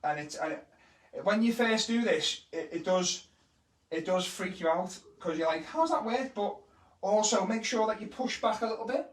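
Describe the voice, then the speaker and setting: A man from Liverpool with a Scouse accent, a kids' entertainer chatting casually through magic tricks and speeding up as he gets excited. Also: sits high, moderate pace. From Liverpool, England. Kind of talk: casual live-stream tutorial. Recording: roomy echo, mic at a distance.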